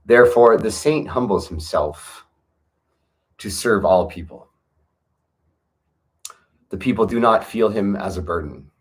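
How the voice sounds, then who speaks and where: A man with a fairly low voice reads slow, solemn verses aloud. He speaks in a measured, earnest way and leans into key words for emphasis. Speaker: reader of a classic text. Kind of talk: solemn reading aloud with asides.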